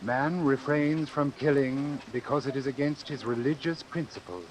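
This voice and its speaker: A man's voice: mid-range voice, lightly acted, poor audio. Man acting a formal, calculating doctor, hissy recording. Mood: cold, reflective. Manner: formal, precise, measured. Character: cold, calculating male doctor obsessed with his experiment. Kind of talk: vintage suspense radio drama narration and acting. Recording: hissy old radio recording.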